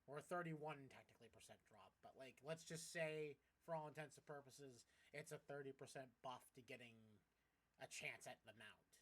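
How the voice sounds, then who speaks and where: A man's voice: mid-range voice, moderate pace, fairly bright tone. A man streaming a game, explaining things casually in a rambling, emphatic way with repeated points. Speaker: gaming streamer. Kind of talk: casual gameplay chat, explaining.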